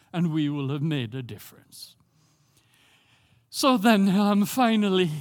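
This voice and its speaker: Older male pastor, Jamaican accent, earnest sermon delivery, highish voice. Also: long reverb tail. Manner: earnest, emphatic, slows down to stress key phrases.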